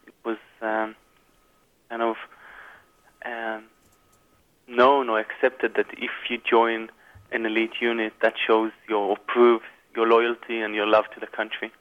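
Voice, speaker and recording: A man's voice: on the low side, narrow, tinny audio. A man in his twenties from Israel, answering interview questions hesitantly with many fillers. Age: 20s. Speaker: guest, reserve army officer.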